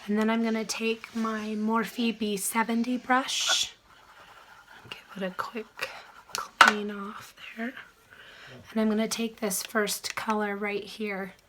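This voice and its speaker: A woman who is sick and losing her voice, chatting softly through a makeup tutorial, often dropping into a whisper. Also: a little high, flat delivery, slow pace, heavy background noise. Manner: soft, chatty, trailing into whispers. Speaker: beauty vlogger.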